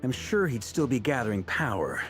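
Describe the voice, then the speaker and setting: A seasoned, middle-aged knight commander from a video game, self-assured and wry. He speaks with a commanding ease, his pitch moving lively. Faint game music plays under his lines. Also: normal speed.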